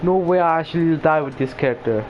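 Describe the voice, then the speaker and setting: A man's voice: a little high, fast, lo-fi sound. Casual male gamer over game music. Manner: reactive, muttering short exclamations at the game. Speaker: gamer recording gameplay. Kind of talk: casual gameplay commentary with slang. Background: loud video game music.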